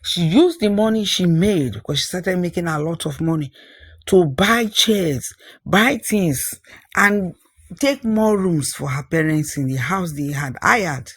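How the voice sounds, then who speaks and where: Husky storyteller, closely and dryly recorded. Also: mid-range voice, lively intonation, a little slow, no echo. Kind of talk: scripted folktale narration.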